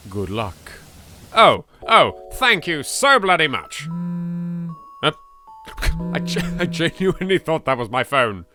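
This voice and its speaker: A man's voice: fairly high pitch, big pitch swings, slow, long pauses, over music. A British man giving casual, sweary and apologetic commentary as he plays a game on video. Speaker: gaming video host. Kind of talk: casual let's play commentary.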